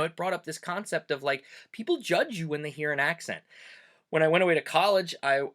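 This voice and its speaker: A man's voice: medium pitch, fairly bright tone. A man from Pennsylvania Dutch country hosts a casual podcast monologue in a chatty way, with frequent "uh" fillers. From Pennsylvania Dutch country, USA. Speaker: host and teacher.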